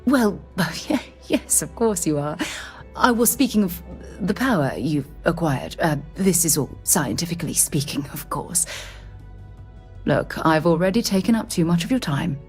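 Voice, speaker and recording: An actress playing an earnest, excitable space-explorer scientist in a video game, speaking in an articulate, formal, slightly flustered way. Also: female voice, on the low side, moderate pace, soft background music, lightly acted. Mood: flustered, devoted.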